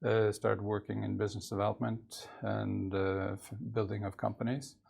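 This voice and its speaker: A man's voice: fairly low pitch, little pitch movement, moderate pace, creaky, a little mellow, slightly rough. A middle-aged man with a Norwegian accent, answering interview questions in a studio, hesitant and full of filler sounds. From Canada. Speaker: company CEO.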